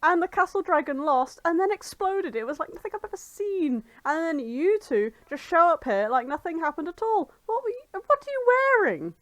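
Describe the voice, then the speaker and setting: A woman's voice: extremely high pitch, very animated, normal speed, few pauses, a bit bright, no echo, pitched above the performer's own voice, full character voice. A woman voicing a demanding, flabbergasted scientist in a hyperdramatic fan-fiction reading.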